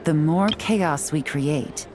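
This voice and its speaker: Video game investigator: calm, suave leader, slightly low pitch, music behind. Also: female voice. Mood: instructing. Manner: calm, measured, instructing. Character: composed, suave, confident investigator ally and leader. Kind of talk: video game character acting.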